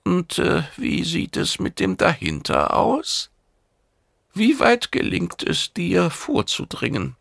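A man's voice: high voice, huge pitch swings, slow, gravelly, a bit bright, dry recording, clean studio sound, voice pushed up, exaggerated voice. A man voicing a stern, demanding oracle mentor who lectures imperiously in an audiobook. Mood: probing. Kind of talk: audiobook character acting.

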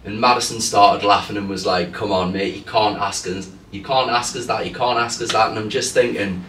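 A man's voice: on the low side, steady tone, brisk, a bit bright. A man with a Geordie accent, chatting casually and jokingly as a guest football fan. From Newcastle, England. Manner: chatty, jokey, self-deprecating. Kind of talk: casual chat. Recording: roomy, distant mic, faint hiss.

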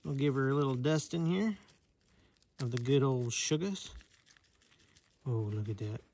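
A man's voice: mid-range voice, unhurried, darkish tone, slight background noise. A man in his thirties vlogging casually at a snowy night campsite, savoring food and chatting to viewers. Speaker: outdoor vlogger. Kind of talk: casual chat to camera.

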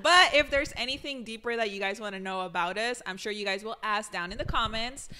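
A woman's voice: mid-range voice, a little fast, bright, forceful tone. A young woman hosting a podcast, peppy and upbeat, often whooping and cheering the others on. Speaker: podcast host. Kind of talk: casual chat. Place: living room, on a couch. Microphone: handheld mic.

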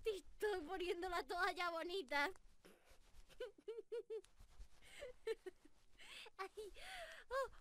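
An extremely high, bright squirrel girl for an anime-style ASMR roleplay. She is excitable and ditzy, stammering as her pitch swoops about. Some noise sits behind the voice. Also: extremely slow, long pauses, heavily acted. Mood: giggly, delighted. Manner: bubbly, excitable, stammering, giggly. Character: hyper, ditzy, timid young squirrel monster girl.

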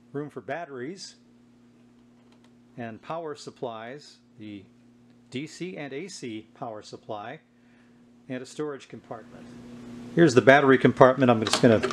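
A man hosting a casual hands-on demonstration, explaining things in an unhurried, informal way. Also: mid-range voice. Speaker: host of a vintage electronics video. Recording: clear steady background noise.

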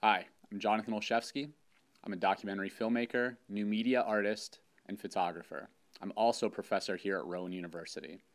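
A man in his thirties, a professor, reading out award nominees in a scripted presentation. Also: fairly low pitch. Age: 30s. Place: indoor studio with dark curtain.

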